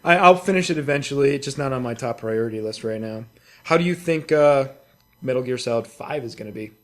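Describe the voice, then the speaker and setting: A man's voice: medium pitch, fast talker. A man co-hosting a podcast in casual gaming chat, informal and freely swearing. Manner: informal, profane. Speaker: podcast co-host.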